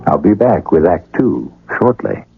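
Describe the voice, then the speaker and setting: Deep-voiced male radio drama host. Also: very animated, unhurried, vocal fry, slight background noise. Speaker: host and narrator. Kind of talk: scripted 1970s radio drama narration. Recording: muffled old radio broadcast.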